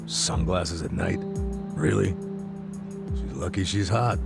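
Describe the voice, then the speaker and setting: Casting: a gruff middle-aged game sidekick, deep and creaky, casually blunt, swinging intonation. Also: male voice, animated, slow pace, exaggerated voice. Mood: wry, smitten. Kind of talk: English video game dub acting. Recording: loud music behind dialogue.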